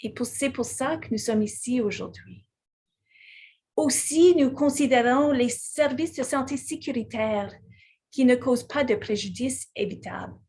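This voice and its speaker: Brief: woman, slow keynote pace, slightly husky, higher-pitched voice. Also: darkish tone. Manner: slow and deliberate, with small restarts. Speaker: conference speaker. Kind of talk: prepared keynote speech.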